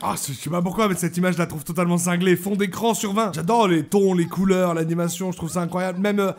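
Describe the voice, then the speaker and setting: Casting: male YouTube reactor, high voice, loose slangy delivery, blunt and exclamatory. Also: fairly even intonation, fast, faint music behind. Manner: exclamatory, slangy, crude-mouthed. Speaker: YouTube host reacting to cartoons. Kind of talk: casual reaction commentary.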